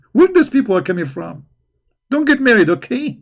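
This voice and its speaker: A man's voice: very high-pitched, creaky. A man hosting a religious debate stream, answering viewers' questions combatively and with mockery, often repeating words as he searches for phrasing. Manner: combative and mocking toward questioners.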